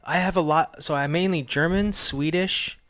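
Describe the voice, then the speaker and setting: A man's voice: fairly high pitch, moderate pace, fairly bright tone. A man co-hosting a podcast in casual, laid-back chat, jokey and peppering his sentences with filler "like". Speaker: podcast co-host. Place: indoor room shared with co-host.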